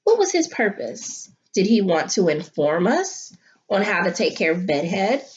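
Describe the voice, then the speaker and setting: A woman teacher reading a picture book aloud to children and talking them through it, with expressive, dramatic delivery and drawn-out emphasis. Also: medium pitch, muffled recording. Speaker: elementary school teacher.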